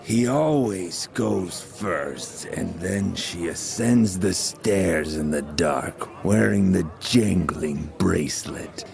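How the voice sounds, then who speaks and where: A man's voice: low-pitched, expressive, slow, creaky, hardly any pauses, a little mellow, full character voice. An actor playing a sly gossip in an audio drama, drawing out his suggestive tale to goad a friend. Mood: lewdly insinuating.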